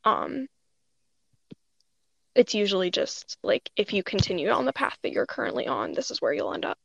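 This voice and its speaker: A woman with a high voice co-hosting a casual live audio chat as a tarot reader, explaining things hesitantly. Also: very animated, normal speed, gravelly, lo-fi sound.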